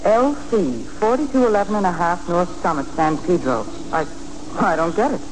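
Casting: wisecracking working woman, female actor, skeptical and animated, on a noisy vintage radio recording. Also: on the low side, normal speed, lightly acted. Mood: wry. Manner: dry, sassy quips. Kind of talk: 1940s radio acting.

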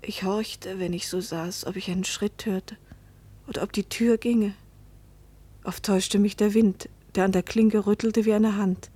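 A lonely, unhappy wife in a radio drama. Her medium-pitched voice is breathy and husky, often dropping to a hush. She sounds introspective and resigned, with fear underneath. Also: steady tone, unhurried. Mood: melancholy, reflective. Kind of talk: German radio play acting with first-person narration.

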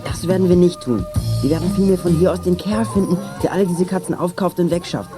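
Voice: medium pitch, fast talker, music in the background, natural voice. A performer playing a level-headed, principled young detective in a children's radio play, talking firmly. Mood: determined. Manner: firm, reasoning.

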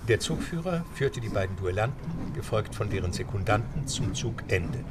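A man's voice: lowish voice, animated, normal speed, noisy background. A man narrating a scripted adventure story as the narrator of an audio drama.

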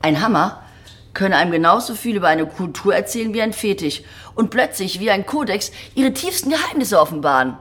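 An actress playing a blunt, disillusioned museum director in a German radio drama, speaking in a matter-of-fact, sardonic way. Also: female voice, mid-range voice, lively intonation, a little fast, a bit bright, lightly acted. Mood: explanatory. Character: blunt, disillusioned female museum director explaining her collection. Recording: roomy, echoing archive-like acoustic.

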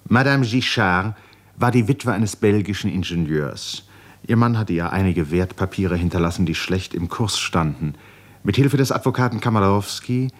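A man narrating a literary radio drama in a measured, articulate storytelling manner. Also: sits low.

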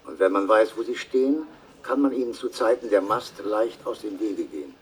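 Schauspieler als distanziert klingender, förmlicher Amateurbotaniker auf Band. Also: male voice, lowish voice, natural voice. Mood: earnest, measured. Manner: measured, formal, precise wording. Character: earnest asthmatic travelling salesman and amateur botanist. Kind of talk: formal recorded statement in a radio play. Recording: distant taped voice, faint noise.

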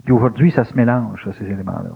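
A man's voice: lowish voice, fast talker, gravelly, breathy edge. A male interviewer with a Québécois French accent, speaking conversationally and reflectively, searching for his words as he frames questions. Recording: thin, phone-like lo-fi interview recording.

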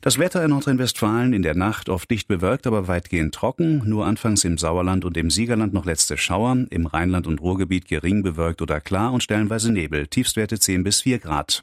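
A man with a low voice reads scripted radio news. His delivery is neutral and formal, and the studio sound is very dry. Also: dry recording.

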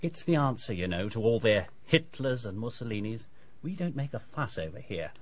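A man playing a chatty, self-satisfied English dentist in a radio drama, with a brisk professional patter. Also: medium pitch, sing-song, slightly rough. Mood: opinionated, self-satisfied.

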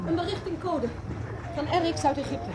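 An actress in a radio drama playing a signals operator relaying messages in a businesslike, precise manner. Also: female voice, very high-pitched, steady tone, normal speed. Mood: urgent, factual. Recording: clear background noise.

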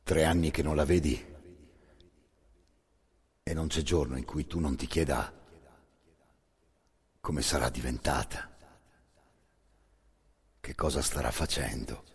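In a long reverberant hall, a male actor narrates a dramatic monologue. His voice is very low and heavily husky. He speaks intensely, in short halting phrases. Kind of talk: dramatic second-person theatre monologue.

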